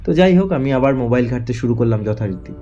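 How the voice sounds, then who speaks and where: A man narrating a first-person horror story in a dramatic, suspenseful way, with deliberate phrases broken by pauses. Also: medium pitch, lively intonation, lo-fi sound, music in the background.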